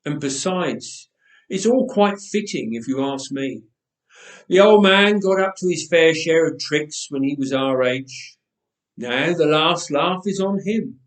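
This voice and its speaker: A man's voice: on the high side, lively intonation, unhurried, recorded from a distance. A man voicing a scheming, callous grown son in a story, smug, offhand and sardonic, in an echoey church.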